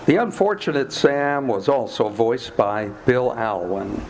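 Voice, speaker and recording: A man's voice: mid-range voice, very animated, unhurried, slight background noise, low-quality recording. A man reading formally as announcer of an old-time radio show. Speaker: announcer and sound technician. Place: performed live in a cafe. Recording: live radio play over music.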